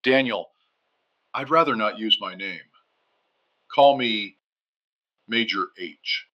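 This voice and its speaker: A man's voice: fairly low pitch, slow, bright, forceful tone, exaggerated voice. A man playing a guarded, secretive retired fighter pilot speaking tersely over a muffled caller line in a radio drama. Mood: guarded, grave.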